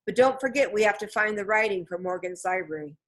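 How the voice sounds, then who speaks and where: A woman reading a children's storybook, voicing an earnest, cautious young boy in an expressive manner. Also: mid-range voice, fast talker. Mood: questioning. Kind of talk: children's storybook read aloud.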